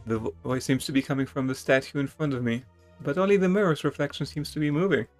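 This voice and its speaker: A man with a slightly high voice gives a dramatic reading of a text adventure game, slipping into casual asides. Music plays softly behind him. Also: fairly even intonation, fast. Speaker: gamer reading a game's text aloud.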